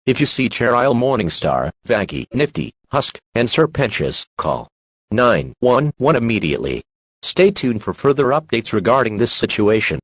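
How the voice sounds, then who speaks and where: A man's voice: medium pitch, very animated, normal speed, slightly rough. A man's synthetic-sounding voice reading a scripted emergency alert in a formal, impersonal manner.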